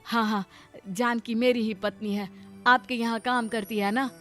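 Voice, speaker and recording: A woman voicing a caring, brave village husband in an animated moral story, earnest and protective. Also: a little high, moderate pace, faint music behind, natural voice. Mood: reassuring.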